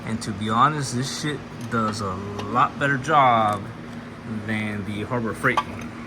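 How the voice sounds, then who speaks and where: A man's voice: on the low side, unhurried, background noise. A man giving a casual, unscripted DIY build walkthrough in a laid-back, plain-spoken, sweary way. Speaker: host of a DIY car build channel. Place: garage workshop.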